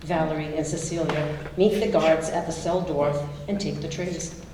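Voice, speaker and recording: A woman's voice: low voice, fairly even intonation, normal speed, mellow, a little hiss or hum. A woman reading scripted stage directions as narrator of a staged reading. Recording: echoey hall, distant mic, music.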